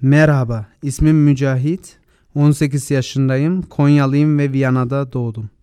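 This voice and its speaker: An eighteen-year-old man from Vienna, a guest on school radio, giving short clear answers. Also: medium pitch, fairly even intonation, measured pace, mellow, dry recording, clean studio sound. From Vienna, Austria. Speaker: interview guest.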